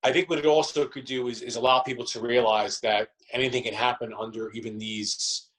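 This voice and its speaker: A man's voice: medium pitch, fairly even intonation, brisk, fairly bright tone, poor audio. A man answering conversationally as an interview guest, feeling his way through phrases and circling back over them. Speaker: actor and theatre company member, interview guest.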